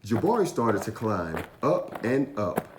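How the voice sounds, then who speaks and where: A man reading a children's story aloud with expressive, animated narration and drawn-out emphasis. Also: fairly low pitch, echoey room, background noise. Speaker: storytime reader.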